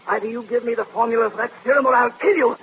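An actor playing a desperate, guilty doctor in an old radio drama, agitated and repeating himself. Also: male voice, very high-pitched, rapid-fire. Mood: desperate, threatening. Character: desperate, frantic male doctor, a guilty conspirator. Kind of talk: 1940s radio acting. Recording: muffled, noisy old radio recording.

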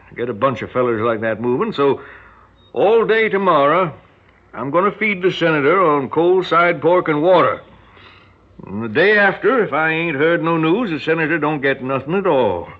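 A man's voice: fairly high pitch, lively intonation, normal speed. An actor playing a folksy, menacing rural kidnapper and father with a Southern American drawl in a radio drama. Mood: folksy, threatening. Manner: drawling, folksy, colloquial talk. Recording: dull lo-fi radio recording, hissy background noise.

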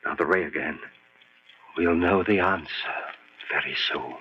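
A man's voice: deep voice, unhurried, music in the background. A man acting as a driven, defiant scientist in an old-time radio horror play, urgent and commanding, giving clipped orders. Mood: tense, commanding. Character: driven, defiant scientist husband who defies death. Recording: muffled, noisy old radio recording with hum.

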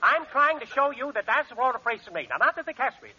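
An actor playing a hotel manager who rattles off confident double-talk gibberish in a 1940s radio comedy. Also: male voice, very high-pitched, fast talker, bright, forceful tone, breathy edge, full character voice. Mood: pompous. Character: fast-talking male manager spouting double-talk gibberish. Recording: hissy lo-fi old radio recording.

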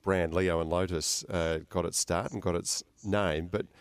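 A man with a very deep voice hosts an interview, asking loose conversational questions full of small restarts. The sound is dry and close, with no room around it. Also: fairly even intonation, a little slow, clear, resonant tone, dry recording. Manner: conversational, stumbling restarts with many uh fillers. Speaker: radio interview host. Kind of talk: interview hosting.

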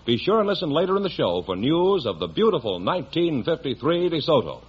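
Lively 1950s radio announcer man, muffled recording. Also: fairly high pitch, brisk, husky. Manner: polished, grand, formal delivery.

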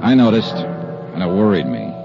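A man's voice: low-pitched, sing-song, normal speed, gravelly, over music, exaggerated voice. An actor playing a cool, wry insurance investigator who narrates his case in a clipped, dry 1950s radio detective style. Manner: clipped, dry, matter-of-fact hardboiled delivery. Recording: dull 1950s radio recording.

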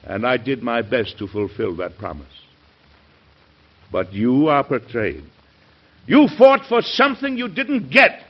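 An impassioned, idealistic weary President. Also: male voice, mid-range voice, big pitch swings. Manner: oratorical, emphatic, deliberate dramatic pauses. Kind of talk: old-time radio drama acting. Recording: dull, hissy old radio recording.

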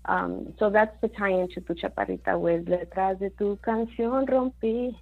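A woman's voice: mid-range voice, fairly even intonation, moderate pace, creaky, narrow, tinny audio. A woman from Mexico, a singer-songwriter, talking casually in an interview, with many fillers and Spanish words mixed in.

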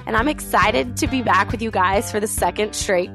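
A woman's voice: mid-range voice, normal speed, over music. Teasing young woman co-host with a cold. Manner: teasing, laughs often. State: has a cold. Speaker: podcast co-host. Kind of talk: casual podcast chat.

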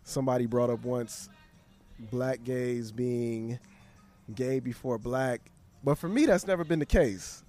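Man, podcast host, mid-pitched voice, thoughtful and hesitant, faint music behind. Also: unhurried, dark tone. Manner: agreeable, thoughtful, hesitant with fillers. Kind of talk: casual conversation.

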